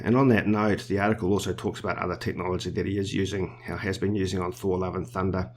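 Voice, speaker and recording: Deep flat-voiced New Zealand man. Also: a little hiss or hum. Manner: measured, explanatory, with small restarts. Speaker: podcast host. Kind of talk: casual podcast discussion.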